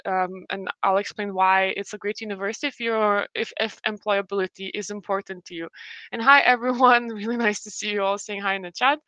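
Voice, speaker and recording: A woman's voice: mid-range voice, sharp tone, no room sound. A woman in her late twenties from Lithuania, speaking English with a Lithuanian accent, giving a friendly university webinar presentation with many fillers and restarts. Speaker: university representative.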